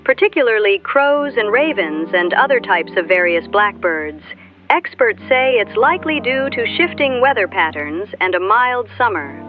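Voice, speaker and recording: An actress playing an upbeat small-town local radio news anchor, with an American accent and polished chirpy delivery. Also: female voice, medium pitch, close to an everyday voice. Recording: dull radio broadcast, music behind.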